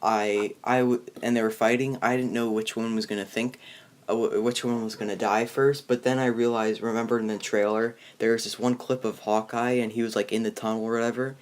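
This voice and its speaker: A young man hosting a casual review chat, talking in a halting, rambling, informal way. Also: mid-range voice, flat delivery. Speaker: host of a movie review video.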